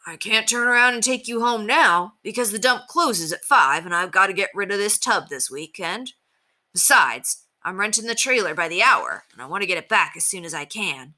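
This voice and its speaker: A woman voicing an impatient, practical grown man in a children's storybook, brisk and matter-of-fact. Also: mid-range voice, animated, pushed and bright.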